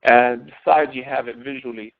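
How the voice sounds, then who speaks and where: Thin, phone-like audio carries a man teaching in an informal lecture style. His mid-pitched voice has a gravelly fry to it. He explains things emphatically, repeating key phrases for stress. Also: steady tone, moderate pace. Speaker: instructor.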